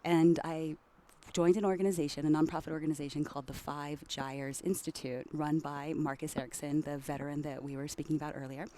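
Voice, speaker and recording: A woman's voice: low-pitched, steady tone, fairly quick, mellow. A woman from Hawaii, a campaign ambassador, giving fluent and upbeat interview answers at a trade show.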